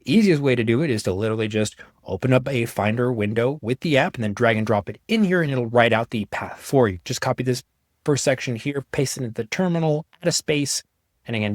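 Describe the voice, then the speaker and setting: A young man giving a casual, conversational walkthrough of software he made, in an informal way. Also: medium pitch, animated, fast, no room sound, clean studio sound. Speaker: app developer presenting his own software.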